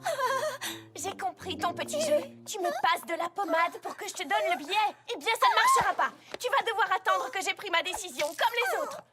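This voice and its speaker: A woman voicing an earnest, fretful young female pony in a cartoon, expressive and easily exasperated. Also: extremely high pitch, a little fast, very noisy. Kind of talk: cartoon dub acting. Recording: music behind the voice.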